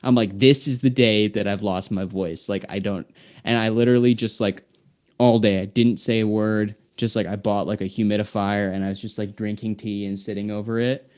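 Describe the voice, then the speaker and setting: Low-voiced young man telling rambling stories. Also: steady tone, normal speed, darkish tone. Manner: rambling storyteller, heavy on filler words. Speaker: guest singer-songwriter. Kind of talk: casual chat.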